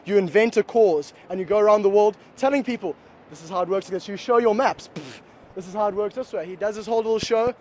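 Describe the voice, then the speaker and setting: A man's voice: high-pitched, fast, darkish tone. A man from South Africa, interviewed on a city street, telling a story animatedly and mocking others' voices. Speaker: passer-by interviewee.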